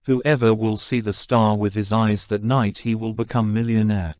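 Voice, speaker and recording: A man's voice: fairly low pitch, flat delivery, normal speed, a little mellow, clear, resonant tone, dry recording, natural voice. A man playing a self-proclaimed village astrologer in a cartoon moral story. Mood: grand announcing.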